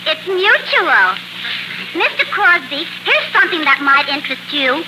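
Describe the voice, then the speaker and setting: An actress playing a brash, blunt young tavern owner's daughter in 1940s radio comedy. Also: female voice, high voice, very animated, moderate pace. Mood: blunt, teasing. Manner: blunt, gushing then deflating. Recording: noisy 1940s radio broadcast recording.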